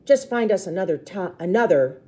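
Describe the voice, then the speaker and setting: A woman's voice: on the low side, animated, normal speed, mellow, lightly acted. A woman voicing a nasty, bullying man in a storybook, with a mocking, emphatic, sarcastic delivery. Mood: sneering, sarcastic.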